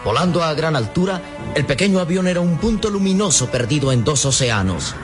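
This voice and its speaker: A man's voice: fairly high pitch, expressive, fairly quick, music in the background, lightly acted. A man narrating a radio drama in a formal, declamatory, scripted style.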